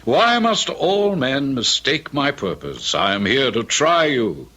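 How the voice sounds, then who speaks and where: An actor playing a devilish tempter, a knowing fallen angel who speaks in a suave, coaxing way in an old radio drama. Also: male voice, medium pitch, very animated, slow pace, bright, forceful tone, full character voice. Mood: persuasive.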